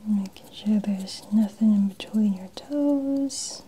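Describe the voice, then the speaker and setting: A soft-spoken woman in an ASMR role-play as a patdown agent, giving polite step-by-step instructions and often slipping into whispers. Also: medium pitch, takes it slowly, slight background noise.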